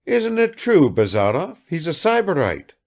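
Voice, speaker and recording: A man's voice: on the high side, wildly swooping pitch, pitched above the performer's own voice. A man reading aloud and voicing an affected, chattering provincial woman who fires off questions without waiting for answers. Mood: gushing chatter. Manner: gushing, rapid-fire questions.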